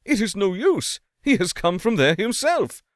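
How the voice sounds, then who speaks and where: A man acts out a helpless, distressed landlord from a storybook. He is plaintive and bewildered, with a slightly high voice that moves up and down a lot. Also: sing-song, measured pace, no echo, clean studio sound. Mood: wailing.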